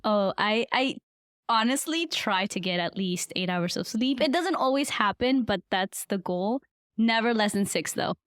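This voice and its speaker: Earnest twenty-something woman from guatemala telling her story, smooth tone, mid pitch. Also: lively intonation, measured pace, a bit bright, dry recording, studio quality. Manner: earnest and reflective, with frequent fillers. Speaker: podcast guest. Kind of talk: conversational life-story interview.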